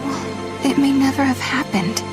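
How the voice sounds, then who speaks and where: A woman playing an earnest, haunted young adventurer in a video game cutscene, with a breathy dramatic delivery. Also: sits high, measured pace. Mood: remorseful. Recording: game audio under loud music.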